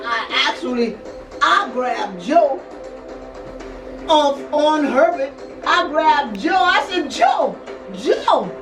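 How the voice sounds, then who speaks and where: An older woman telling a story in an animated, dramatic way, repeating words and phrases as she goes. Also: high-pitched. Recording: roomy echo, distant mic, music behind.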